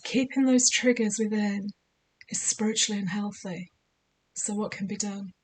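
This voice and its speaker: A woman speaks in an ordinary middle pitch, her voice a little croaky. She delivers spiritual guidance in a measured, soothing, deliberate way. A faint hiss sits under the recording. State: slightly croaky voice. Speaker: spiritual guide. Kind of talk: scripted spiritual guidance talk.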